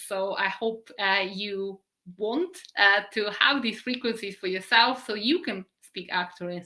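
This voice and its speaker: A middle-aged woman from Poland gives a spiritual live-stream talk. Her voice is bright and forward, and she stretches out key words slowly. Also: mid-range voice. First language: Polish. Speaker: spiritual teacher.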